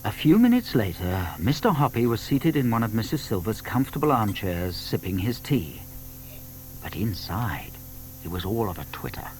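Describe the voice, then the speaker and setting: A man playing a genial, wry old tortoise who narrates a children's audio story in an expressive, confiding way. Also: fairly low pitch, normal speed, slight background noise, low-quality recording. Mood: hushed, suspenseful.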